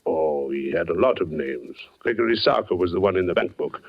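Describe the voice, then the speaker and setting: An actor playing a blunt, skeptical police captain in a 1940s radio thriller, folksy and cautioning. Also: male voice, sits low, animated, brisk, gravelly, exaggerated voice. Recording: dull vintage radio recording.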